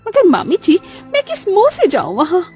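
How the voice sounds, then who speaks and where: A woman's voice: very high voice, wildly swooping pitch, moderate pace, darkish tone, soft background music, voice pushed up, full character voice. A woman voicing a haughty, dramatic rich young daughter-in-law in a moral-story cartoon, exclaiming theatrically. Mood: distressed.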